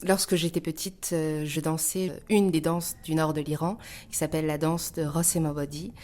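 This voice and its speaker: Woman from northern Iran, low register, interview guest, thoughtful and hesitant with frequent searching pauses. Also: fairly even intonation, mellow. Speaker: interview guest, dancer and choreographer. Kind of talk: reflective interview answers.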